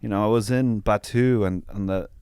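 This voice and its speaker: A man's voice: fairly low pitch, fairly even intonation, moderate pace, a little mellow, clear, resonant tone, no room sound, studio quality. A man co-hosting a podcast, chatting casually in a joking, informal way. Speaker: podcast co-host. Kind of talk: casual comedic chat.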